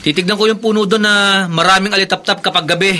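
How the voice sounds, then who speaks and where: Curious teenage brother, high voice, radio drama, noisy ambience. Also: male voice, steady tone, fast talker, sharp tone. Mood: teasing, casual. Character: wide-eyed, curious boy or teenage younger brother. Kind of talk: Filipino radio drama acting. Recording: clear background noise and ambience.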